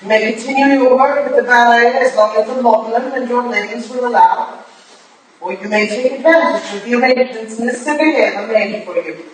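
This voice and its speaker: A woman's voice: fairly high pitch, brisk, lightly acted. A woman playing a stern, proud, aging English lady, dry and imperious, in a staged drama reading. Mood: cool counsel. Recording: echoey hall, distant mic, faint noise.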